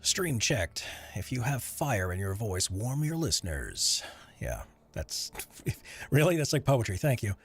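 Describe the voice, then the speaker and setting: A man's voice: lowish voice, big pitch swings, a little slow, breathy edge. A man streaming while he edits voiceover audio, chatting loosely with his viewers and rambling between tasks. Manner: rambling, chatty, answers chat while working. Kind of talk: casual live-stream chat.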